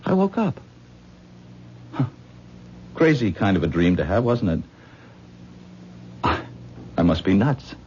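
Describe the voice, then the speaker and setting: An actor playing a troubled young man who tells of a strange dream in a hushed, hesitant way in a 1970s radio drama. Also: fairly low pitch, sing-song, takes it slowly, long pauses. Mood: uneasy. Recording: dull old radio broadcast with faint hiss.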